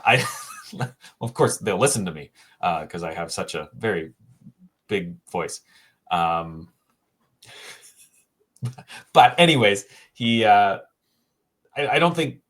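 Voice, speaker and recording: A man co-hosting a casual sports podcast, thinking aloud with frequent pauses and restarts. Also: lowish voice, a bit bright, husky. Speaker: co-host, hockey writer.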